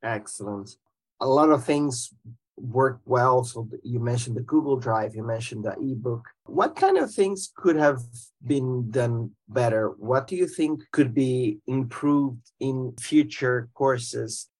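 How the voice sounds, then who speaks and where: A man's voice: mid-range voice, expressive, slow pace, a little mellow, rough recording. A man acting as interviewer, responding briefly and putting questions to a guest.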